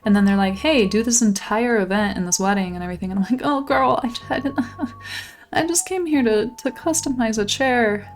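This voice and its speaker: A woman's voice: a little high, normal speed. A young woman giving casual, gushing commentary while she tours a game island, her voice a little worn from losing it lately. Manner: bubbly, gushing, exclamatory. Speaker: channel host touring a game island.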